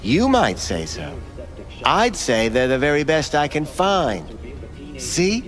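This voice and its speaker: A man playing a creepy, evasive back-alley cyberware doctor in a video game, with an oily, halting, theatrical manner. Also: on the high side, big pitch swings, a bit bright, faint music behind, exaggerated voice. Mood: wary, sly.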